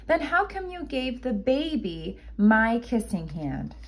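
A woman's voice: a little high, big pitch swings, slow, pitched above the performer's own voice, heavily acted. A woman voicing a sad, anxious little boy raccoon from a children's storybook, asking in a plaintive, childlike way. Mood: sad, hurt. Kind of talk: children's storybook read-aloud.

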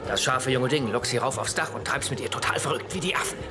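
A man's voice: medium pitch, fast talker, close to an everyday voice. A man doing a cocky smug womanizer. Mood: scheming. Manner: cocky, theatrical, playful. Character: smug womanizing man, scheming party wingman. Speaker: dub actor. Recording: dubbed TV audio with music and laughter.

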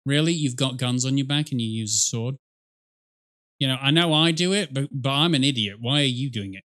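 A man's voice: mid-range voice, fairly quick, background noise. A middle-aged man giving casual, rambling, wry commentary as he reacts to video.

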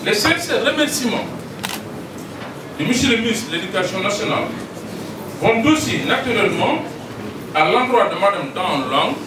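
A man's voice: high-pitched, expressive, fairly quick, pauses often. Older Senegalese man giving a solemn official speech. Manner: solemn, ceremonious, reading aloud. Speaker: official speaking for the minister. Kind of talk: formal speech read from notes. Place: large echoey hall. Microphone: handheld mic, filmed from a distance. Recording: echoey hall, distant, noisy.

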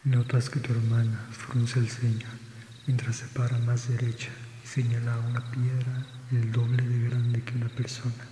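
A man narrating a scripted second-person ASMR story in a restrained, measured way. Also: medium pitch, monotone. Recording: reverberant, noisy with insect ambience. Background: crickets and birds, night ambience.

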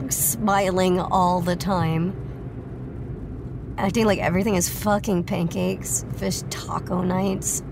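A woman's voice: on the low side, steady tone, takes it slowly, gravelly. An actress playing a bitter, foul-mouthed fourteen-year-old girl in an audio drama, blunt and sarcastic in teenage slang. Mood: angry, exasperated. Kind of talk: naturalistic scripted audio drama acting. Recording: noisy outdoor ambience with vehicle sounds.

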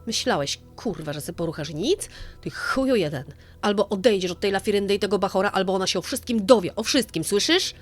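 A woman voicing a furious, vulgar, domineering mistress who loudly makes threats in an audio story. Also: medium pitch, expressive, fairly quick, faint music behind, close to an everyday voice. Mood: enraged, threatening. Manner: loud, threatening, foul-mouthed.

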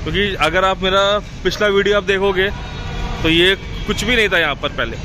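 A man's voice: high voice, moderate pace. A man with an Indian accent narrating casually on site as a vlogger, repeating himself and mixing in English words. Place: outdoor construction site beside a busy road. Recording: noisy outdoor street with heavy traffic. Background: traffic and city ambience.

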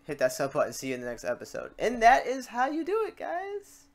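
A man hosting a software tutorial, talking casually and thinking aloud as he works through steps and mistakes. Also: very high-pitched, huge pitch swings, fairly quick, a little hiss or hum. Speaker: tutorial host.

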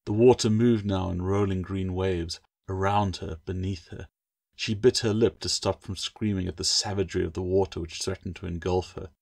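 A man's voice: sits low, gravelly. A man reading his own fantasy novel as a scripted audiobook, with dramatic storytelling delivery.

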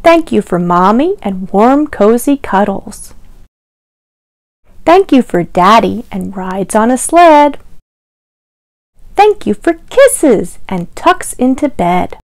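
A woman's voice: a little high, sing-song, takes it slowly, long pauses, darkish tone, no echo. A young woman leading a children's storytime, reading aloud in a simple sing-song way and asking the little listeners questions. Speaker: children's librarian, storytime host. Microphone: lavalier clipped to T-shirt.

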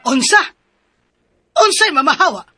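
A woman acting as a harsh middle-aged female employer who scolds her helpers with sharp, berating insults in a radio drama. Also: high-pitched, sing-song, measured pace, no echo, full character voice.

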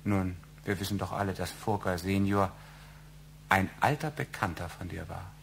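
Radio drama husband: middle-aged, gravelly, deliberate, formal, slyly needling and evasive. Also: male voice, deep voice, steady tone, a little hiss or hum. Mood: insinuating. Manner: deliberate, insinuating, formal wording. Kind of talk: German radio crime drama acting.

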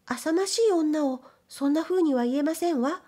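A woman's voice: high-pitched, moderate pace, mellow, pitched above the performer's own voice, natural voice. A woman reading the part of an earnest young girl in a storybook, speaking politely and pleadingly. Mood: earnest protest. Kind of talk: dramatic literary reading.

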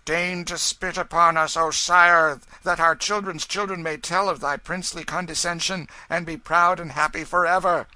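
A man's voice: a little high, fairly even intonation, normal speed, few pauses, bright, forceful tone, no echo, pitched above the performer's own voice. A man voicing jeering ragged vagabonds in a storybook, wailing and shrieking in mock worship. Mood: mock pleading.

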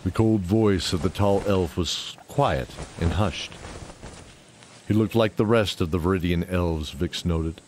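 A man's voice: low-pitched, unhurried, darkish tone. A man narrating a scripted fantasy audio drama. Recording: clear background noise and ambience.

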